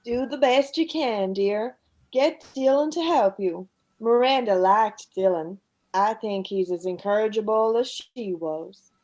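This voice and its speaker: A woman playing a bossy, scornful middle-aged daughter-in-law with a sharp, sarcastic, commanding manner in a radio play. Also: mid-range voice, a little slow, lightly acted. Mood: brisk, dismissive. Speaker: actor in an amateur radio play.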